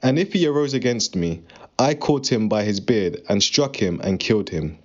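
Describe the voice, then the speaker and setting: A man's voice: lowish voice, expressive, normal speed. A man playing a confident, faithful young shepherd in a dramatized scripture reading, speaking in an earnest, declarative way. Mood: earnest, resolute. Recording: dull, muffled lo-fi recording.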